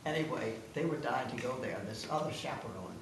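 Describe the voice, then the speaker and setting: Low-voiced woman aged 98, echoey room. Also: expressive, quick pace, a loud crowd. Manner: giggly, playful. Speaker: guest of honor reminiscing. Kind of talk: casual storytelling among family. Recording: noisy, echoey room, distant mic.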